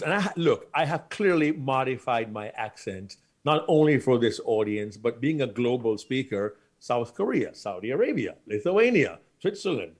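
A man's voice: mid-range voice, husky. A middle-aged man with a Jamaican accent answering a panel question over a video call, emphatic and repeating his key lines. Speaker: guest speaker. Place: studio with plain backdrop. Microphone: video call webcam.

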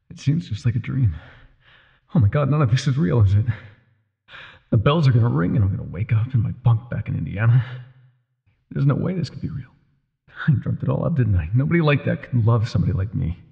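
A man playing an older ex-mafia boyfriend, wry, tender and self-doubting, with a husky, breathy voice in an intimate roleplay audio. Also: medium pitch, big pitch swings, moderate pace, long pauses, phone-quality sound, exaggerated voice. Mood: overwhelmed, anxious.

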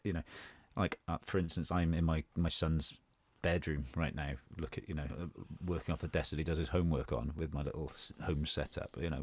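A man actor talks casually from his bedroom home studio. His voice is very low, and the recording is dry and close. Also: darkish tone, dry recording. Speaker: actor in an audio drama. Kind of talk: casual chat.

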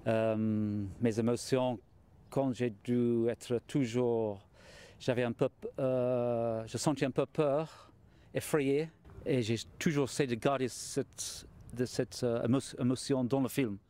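Man, fifties, medium pitch, halting interviewee who searches for words. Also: fairly even intonation, dark tone, clear, resonant tone. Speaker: actor being interviewed. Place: outdoors in front of a large mansion. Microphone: small mic held out by interviewer.

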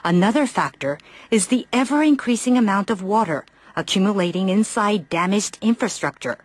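Husky female news reporter. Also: mid-range voice, animated, moderate pace, no echo. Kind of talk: scripted news narration.

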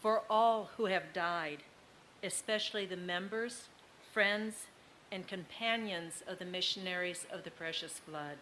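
A woman's voice: lowish voice, a little slow, fairly bright tone, slight background noise, poor audio. An older woman formally reading an intercession into a lectern microphone in a large tent. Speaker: reader of intercessions. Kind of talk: formal read prayer. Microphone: gooseneck lectern microphone.

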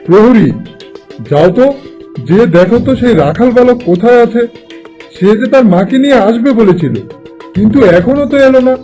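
A man performs a domineering middle-aged landlord father from a cartoon fairy tale. His voice is very high for a man and mellow in tone, carrying a stern, scheming authority. Light music plays behind him. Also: expressive, normal speed, long pauses. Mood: commanding.

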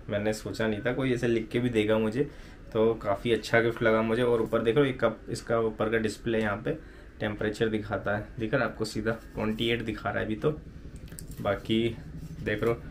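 Young male vlogger, chatty, fairly flat low voice, noisy roadside recording. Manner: chatty, addresses viewers as brother and friends. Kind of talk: casual vlog chat to camera. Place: outdoors by a road at dawn, later indoors in a room.

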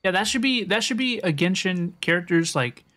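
A woman's voice: lowish voice, animated, a little fast, a bit bright. A woman live-streaming a game with casual, playful, teasing reaction commentary, often repeating her phrases.

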